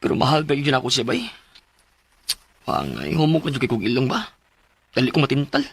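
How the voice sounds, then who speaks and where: An actor with a Cebuano Filipino accent playing an emotional, lovesick man in his thirties in a radio drama, with a husky voice. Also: medium pitch, very animated, a little fast, dry recording, full character voice. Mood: sheepish. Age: 30s. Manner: emotional, pleading, swooping delivery. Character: Manuel, lovesick pleading man of thirty-two, repentant cheater. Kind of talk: Philippine radio drama acting.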